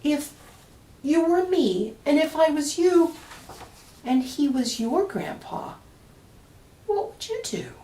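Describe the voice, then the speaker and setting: A woman's voice: sits high, very animated, slow pace, pauses often, warm tone, voice pushed up, exaggerated voice. A woman voicing an earnest, timid but persistent second-grade girl in a picture book, hesitantly. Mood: timid, questioning. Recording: echoey room, distant microphone.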